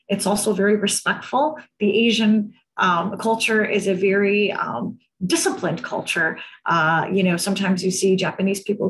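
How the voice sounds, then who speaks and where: A woman's voice: medium pitch, a bit bright. A woman giving an explanatory talk over a video call in a conversational manner, checking in with listeners as she goes. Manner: conversational, seeks agreement with tag questions. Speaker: presenter, cultural trainer. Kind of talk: explanatory slide presentation to an audience. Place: indoor room on a video call. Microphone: video call microphone.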